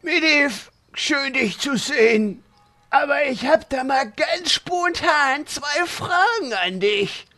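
A man's voice: very high-pitched, lively intonation, takes it slowly, bright, forceful tone, faint music behind, full character voice. A male actor playing a blunt, wisecracking adventurer in a comedic audio drama. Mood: puzzled.

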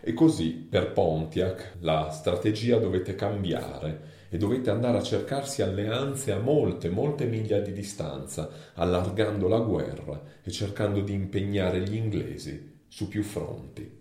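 A man's voice: sits low, expressive, measured pace, hardly any pauses, warm tone. A man giving explanatory historical narration. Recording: roomy, echoing sound.

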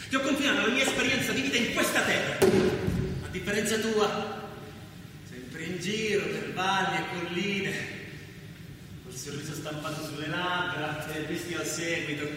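An actor playing an anguished biblical brother in a radio play, speaking intense, lyrical lines with insistent repetition. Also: male voice, high voice, moderate pace, lightly acted. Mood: pleading, urgent. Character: anguished biblical brother, a toiling man of the land. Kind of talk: poetic theatrical radio drama. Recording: very echoey, distant, noisy hall.

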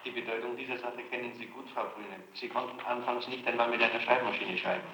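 A man's voice: mid-range voice, steady tone, fast, sharp tone, echoey room, a little hiss or hum, low-quality recording. A man in a formal interrogation, confronting a suspect in a matter-of-fact way on an archival tape recording.

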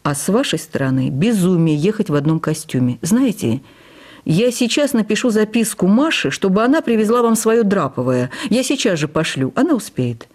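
Woman reading as ailing male writer, fondly teasing then touchy, soft mellow tone, bit husky, radio play. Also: high-pitched, big pitch swings, moderate pace. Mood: caring, insistent. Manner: gently teasing, turning sullen and abrupt. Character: ailing, affectionate, testy male writer and doctor.

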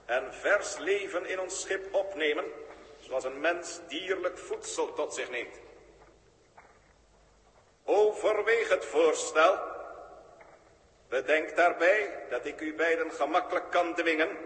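An actor playing a cold, formal ship-brain that is part human and part machine, speaking in stiff, old-fashioned and measured sentences in a 1960s radio play. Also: male voice, on the high side, a bit bright, reverberant, big-room sound. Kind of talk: 1960s radio drama acting.

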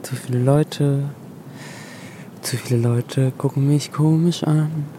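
A man talking unscripted and reflectively, in halting, broken phrases. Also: mid-range voice, steady tone, mellow, a little hiss or hum.

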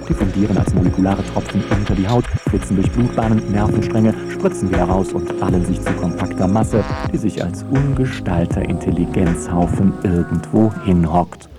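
A man with a low voice narrates a scripted radio feature. His delivery is wry and rhetorical, and music runs clearly behind him. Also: moderate pace, hardly any pauses.

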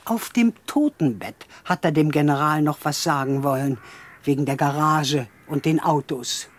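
An actress playing a plain-spoken, resigned elderly widow in a radio drama. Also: female voice, deep voice, normal speed. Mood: resigned, plaintive. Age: older.

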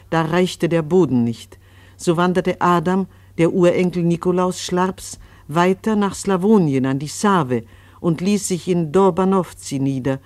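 A man playing a solemn chronicler, reciting a family history in measured scriptural style for a radio play. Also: high voice, darkish tone, no room sound.

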